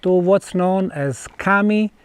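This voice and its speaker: A man with a Bosnian accent presenting an on-site documentary in deliberate, emphatic phrases broken by pauses. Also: high-pitched, clear, resonant tone, no room sound. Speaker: presenter, researcher. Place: outdoors at stone ruins.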